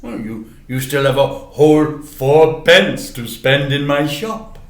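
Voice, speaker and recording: A man's voice: a little high, slow. A man voicing a jolly, kindly male newsagent in a children's storybook, theatrical, with hesitant little stammers. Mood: cheerful patter. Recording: echoey room.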